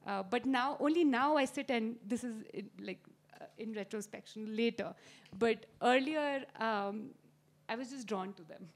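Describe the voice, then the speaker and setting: A woman's voice: on the high side, a little slow, a little hiss or hum. A woman in her thirties with an Indian accent, answering questions in an instructive way as a gardening expert at a live talk. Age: 30s. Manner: explanatory, instructive. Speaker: gardening expert and guest speaker.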